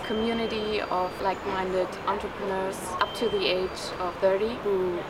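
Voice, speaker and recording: A woman's voice: medium pitch, flat delivery, normal speed, distant mic, very noisy. A woman in her twenties, an entrepreneur giving an enthusiastic interview testimonial. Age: 20s. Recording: voice from off-mic. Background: loud music.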